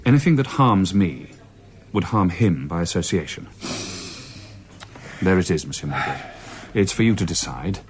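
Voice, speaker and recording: An actor playing a scheming lawyer in a radio drama, speaking formally and insinuatingly. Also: male voice, sits low, expressive, moderate pace, long pauses, slight background noise. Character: smooth, scheming lawyer cornered by police. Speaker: actor in a detective radio play.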